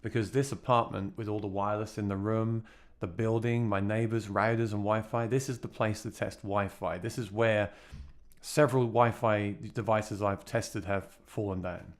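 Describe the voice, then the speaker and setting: A man with a deep voice, a gigging musician, chatting plainly through a gear review. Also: fairly even intonation, moderate pace, no echo.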